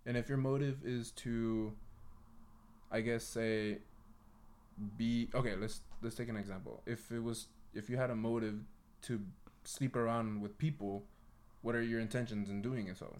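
A man's voice: medium pitch, fairly even intonation, normal speed, a little mellow. A man hosting a podcast in a casual, conversational way, rambling and correcting himself as he explains. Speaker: podcast host.

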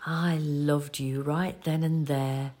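Patient loving woman in a radio play, slightly low voice, gentle measured storytelling. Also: little pitch movement. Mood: tender, amused. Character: devoted, patient woman retelling a cherished love story. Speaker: actor in an audio play. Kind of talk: audio drama acting, storytelling.

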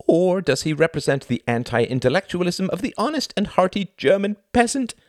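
A man voicing a flamboyant, theatrical thin stage performer in a grandiose, excitable manner. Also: high-pitched, brisk, no room sound, studio quality, pitched above the performer's own voice, natural voice.

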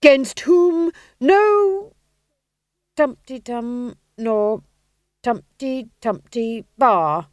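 A woman voicing a nervous young male actor who declaims his lines slowly and haltingly, then talks timidly. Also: on the high side, expressive, darkish tone, dry recording, lo-fi sound, voice pushed up. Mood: unsure. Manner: halting, slow overdone declaiming.

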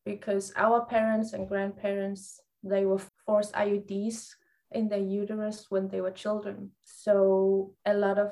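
A Greenlandic woman speaks English with her home accent, in a mid-pitched, soft voice. She answers thoughtfully, pausing and using small fillers as she searches for words. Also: monotone, poor audio. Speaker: guest psychologist. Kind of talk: interview answers.

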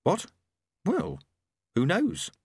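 A man's voice: sits high, huge pitch swings, extremely slow, darkish tone, breathy edge, dry recording, clean studio sound, voice pushed up, full character voice. A man voicing a naive, earnest and unsure male character in an audiobook, speaking hesitantly. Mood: flustered.

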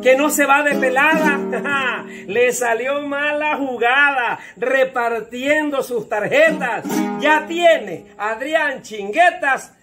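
A man's voice: very high-pitched, slow. A man with a Mexican accent playfully singing and reciting satirical rhymed news verses in a folksy way. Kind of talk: sung and recited rhymed political verse with jarana. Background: plucked jarana guitar music.

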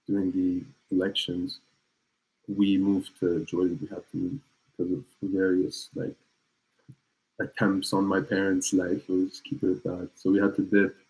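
A man in his early twenties, a native French speaker, chatting as a guest in a relaxed, laid-back way. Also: sits low, moderate pace, breathy edge, low-quality recording. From Palestine. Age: early 20s. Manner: relaxed, laid-back, slangy, frequent restarts. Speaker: guest musician. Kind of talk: casual conversational interview.

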